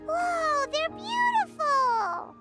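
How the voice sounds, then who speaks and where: A woman voicing a small, childlike, excitable fairy companion in an anime game, squeaky and chirpy. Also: extremely slow, soft background music, exaggerated voice. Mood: excited. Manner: chirpy, refers to self in third person.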